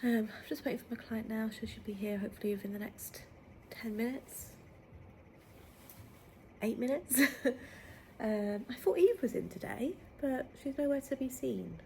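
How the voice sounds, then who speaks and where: A woman with a cold chats to her camera in a relaxed way, thinking aloud. Her voice sits a little high and sounds soft and mellow. A faint hum of background noise runs underneath. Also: moderate pace, pauses often, rough recording. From United Kingdom. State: still under the weather with a cold. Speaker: self-employed nail tech vlogger. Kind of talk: casual vlog chat to camera.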